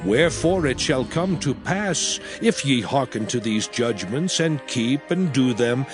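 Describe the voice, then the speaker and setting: Slow, grave male scripture reader. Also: mid-range voice, expressive, over music. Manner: slow, grave, emphatic declamation. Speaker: narrator. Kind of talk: dramatized King James scripture reading.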